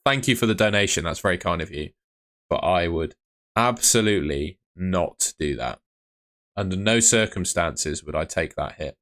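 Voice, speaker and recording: A man's voice: sits low, animated, normal speed, fairly bright tone. A young man who is losing his voice, streaming from his room at home and answering viewer questions in a blunt, chatty way. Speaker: fantasy football streamer.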